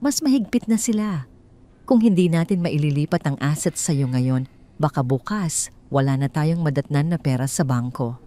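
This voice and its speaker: A woman's voice: deep voice, animated, normal speed, dark tone. Uneasy, suspicious husband, a woman acting him out. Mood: urgent. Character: fearful, suspicious husband, a pressured businessman.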